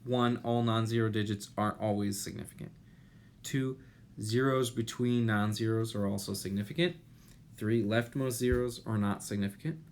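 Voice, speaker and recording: A man in his thirties giving an explanatory lecture as an instructor, deliberate and pausing, with rhetorical questions. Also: on the low side. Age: 30s. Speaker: chemistry instructor. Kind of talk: explanatory lecture over slides. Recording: noticeable steady background noise.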